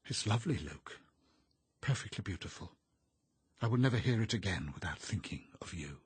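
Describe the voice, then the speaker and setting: A clever, mocking young woman, played by a man reading a novel speaks with polished, arch courtesy. The voice sits raised above the reader's own, husky and very close and dry. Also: medium pitch, expressive, normal speed, a bit bright, no room sound, voice pushed up. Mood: teasing. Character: witty, teasing, theatrical young woman.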